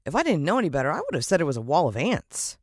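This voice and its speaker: American female storyteller for children's audiobook: highly varied pitch, dramatic emphasis, close dry sound. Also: huge pitch swings, very fast, no room sound. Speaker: audiobook narrator. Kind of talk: expressive children's story narration.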